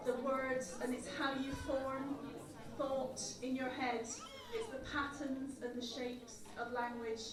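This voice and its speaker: Woman speaking in an echoey hall. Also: high-pitched. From Ireland. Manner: earnest storytelling with frequent ums and uhs. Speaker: community group chair giving a speech. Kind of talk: public speech at a community event. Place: large echoey event hall. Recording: echoey hall from far off with crowd.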